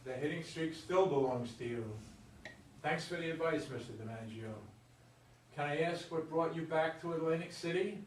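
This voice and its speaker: A man's voice: a little high, normal speed, lightly acted. An actor in a staged play reading, playing an earnest, inquisitive married man who speaks plainly and loves baseball. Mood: curious. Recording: echoey room, distant mic, background noise.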